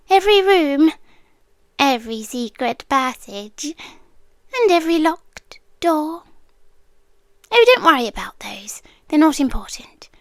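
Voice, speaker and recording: A British woman acts out an ancient, possessive monster girl in an intimate ASMR roleplay. Her voice is high, soft and breathy, slipping often into whispers. She speaks very slowly with dramatic pauses, coaxing and eerily reassuring, close on the mic in a dry space. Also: no echo. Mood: sweetly possessive, then coy, evasive. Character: possessive centuries-old monster girl, sweetly sinister doting collector of people. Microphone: close ASMR microphone, dry sound.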